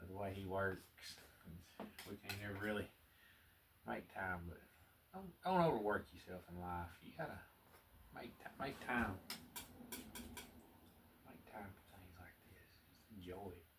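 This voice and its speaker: A man with a strong Southern US accent, chatting in a folksy, unhurried way as he teaches painting, murmuring asides to himself. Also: deep voice. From American South. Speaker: painting instructor. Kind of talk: relaxed painting tutorial with casual chat. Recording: distant mic with clear background noise.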